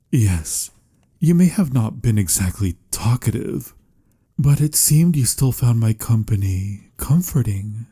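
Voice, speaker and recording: A man playing a melancholy, courtly vampire lover in a romantic ASMR audio roleplay, speaking softly in formal, old-fashioned, measured phrases with a breathy, husky voice. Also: mid-range voice, heavily acted. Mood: patient, confused. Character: melancholy, courtly male vampire lover, patient but pained.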